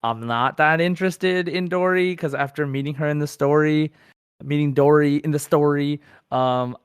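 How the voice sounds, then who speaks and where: A man's voice: on the high side, moderate pace, clean tone, dry recording. Casual male podcast host, close dry sound. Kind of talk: casual gaming podcast chat.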